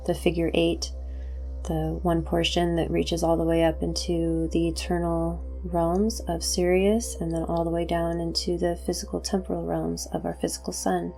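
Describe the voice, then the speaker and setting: A woman hosting a guided meditation, introducing it as spiritual talk in deliberate, measured phrases. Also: on the low side, mellow.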